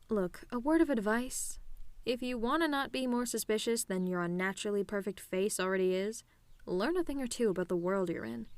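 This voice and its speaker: A woman's voice: on the high side, dry recording, lightly acted. A woman playing a cocky, sarcastic tomboy demon with a casual, teasing delivery in an audio roleplay. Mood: dismissive, sardonic. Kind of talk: ASMR-style scripted roleplay monologue.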